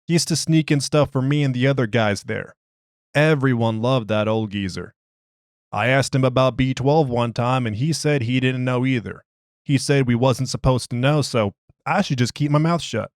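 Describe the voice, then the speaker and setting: A man's voice: mid-range voice, normal speed, no echo, clean studio sound, natural voice. A man voicing an agitated, streetwise prisoner who argues his case in slangy, ungrammatical, exasperated speech. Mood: casual, defiant. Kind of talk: dramatized interview log.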